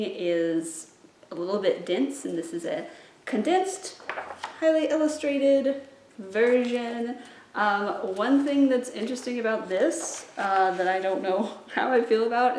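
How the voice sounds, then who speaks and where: Woman in an echoey room, unhurried book talk. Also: mid-range voice. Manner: enthusiastic, expressive. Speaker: children's librarian and video host. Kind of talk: casual book recommendation talk to camera. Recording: echoey room with faint background noise.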